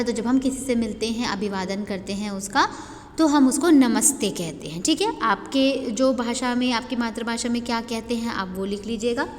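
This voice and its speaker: A woman's voice: a little high, darkish tone. Indian woman teacher, reverberant room. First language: Hindi. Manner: slow dictation when reading English answers aloud. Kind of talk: worksheet lesson for children.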